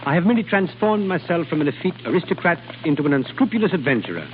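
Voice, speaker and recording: An actor playing a cool, unsentimental aristocratic adventurer, speaking in a clipped, formal, controlled way in an old-time radio drama. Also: male voice, fairly high pitch, animated, racing, lightly acted. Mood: detached. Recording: tinny, noisy vintage radio recording.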